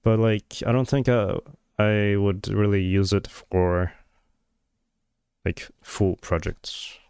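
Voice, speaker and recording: Guy on stream muttering to himself while working, slow, lots of "like" and "um", smooth clear voice. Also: fairly low pitch, darkish tone, dry recording, studio quality. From Georgia. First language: Georgian. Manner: hesitant, many fillers and trailing thoughts. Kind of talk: thinking aloud while working on a live stream.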